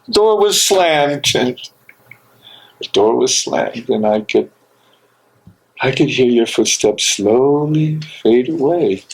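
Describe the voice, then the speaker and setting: A man playing an anguished, brooding imprisoned theologian in a dramatic stage reading, speaking an inner monologue in halting, broken phrases. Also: medium pitch, expressive, gravelly, slightly rough, heavily acted, poor audio. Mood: mournful, searching.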